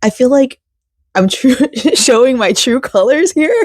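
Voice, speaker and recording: A hoarse American woman in her thirties talking casually and ramblingly, as in a personal vlog. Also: high voice, expressive, measured pace, a little mellow, dry recording, clean studio sound. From Florida, United States. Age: 30s. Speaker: vlogger.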